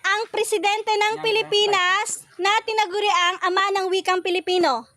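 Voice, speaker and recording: A woman's voice: very high voice, fairly even intonation, normal speed, a crowd in the background. A woman with a Filipino accent hosting a quiz, reading out trivia questions in set formal wording. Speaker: quiz host.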